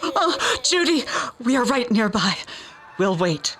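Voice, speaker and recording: A woman's voice: fairly high pitch, sing-song, slow pace, fairly bright tone, faint music behind, heavily acted. A woman playing a tender, doting character in an audio drama, soothing and fussing over loved ones. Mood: tender, reassuring.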